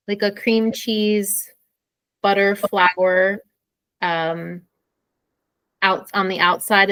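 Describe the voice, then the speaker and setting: A woman's voice: medium pitch, sharp tone, no echo, rough recording. An American woman co-hosting an informal English lesson in a conversational teaching style. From Pennsylvania, USA.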